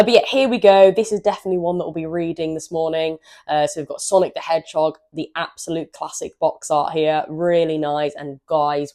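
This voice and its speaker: A woman hosting a gaming channel, hoarse from a cold, chatting in an upbeat, rambling way and stumbling over her words now and then. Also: sits low, darkish tone. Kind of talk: casual chatty walkthrough of a game manual.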